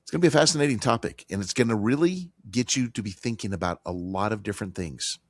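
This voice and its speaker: Male talk radio host, hoarse with a cold, low voice, dry studio sound, emphatic conversational delivery. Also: quick pace, no echo. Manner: emphatic, repetitive, conversational with fillers. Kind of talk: financial talk radio monologue.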